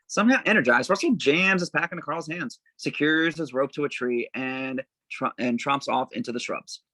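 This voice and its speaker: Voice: mid-range voice, moderate pace, sharp tone, no echo, poor audio. A narrator reading out scene descriptions and stage directions at an informal script table read.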